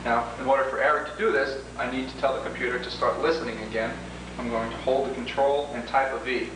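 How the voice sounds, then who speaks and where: Guy explaining software steps on an old noisy tape, super echoey. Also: mid-range voice, fairly even intonation, quick pace, far from the mic. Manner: explanatory, step-by-step. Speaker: presenter demonstrating software. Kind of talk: instructional demo narration. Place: reverberant indoor room, away from mic.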